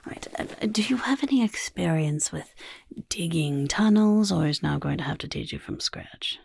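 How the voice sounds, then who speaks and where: A woman voicing a scheming little goblin overlord who interviews recruits in a soft-spoken ASMR roleplay, whispering smugly. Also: lowish voice, lively intonation, moderate pace, dry recording, studio quality, full character voice. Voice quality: gravelly, breathy whisper. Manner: whispery, smug, mischievous questioning. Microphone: close ASMR mic.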